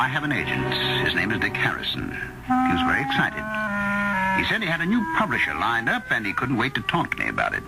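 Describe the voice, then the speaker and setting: An actor playing a wry, easygoing middle-aged mystery writer in a theatrical, expressive old-time radio drama style. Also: male voice, mid-range voice, poor audio. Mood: matter-of-fact. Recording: old radio drama, slight background noise.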